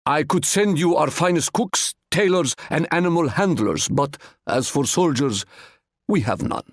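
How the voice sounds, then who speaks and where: A man's voice: on the high side, expressive, unhurried, no echo, clean studio sound. A man voicing a proud, courteous Ottoman sultan in a video game, speaking formally and fond of proverbs. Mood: regretful. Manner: stately, formal, proverb-laden.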